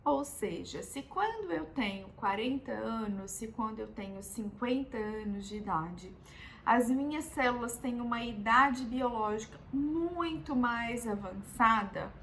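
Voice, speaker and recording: A Brazilian woman in her thirties, a doctor, patiently explaining a health topic in a calm, teaching way and often checking in with the listener. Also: on the high side, a little slow, a little hiss or hum. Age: 30s. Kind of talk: explanatory health video talk.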